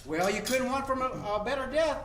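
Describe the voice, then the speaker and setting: A woman's voice: high voice, expressive, fairly quick, a little hiss or hum. A woman playing a breezy, eager teenage kid brother in a 1940s radio play. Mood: casual. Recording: echoey live stage.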